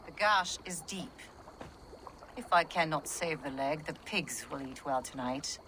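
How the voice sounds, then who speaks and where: A middle-aged pagan healer woman with a low, commanding voice. She talks plainly, with dry wit and firm authority, as in a historical video game drama. Clear outdoor ambience sits behind her. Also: a little slow, sharp tone, a little hiss or hum. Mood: calm, dry.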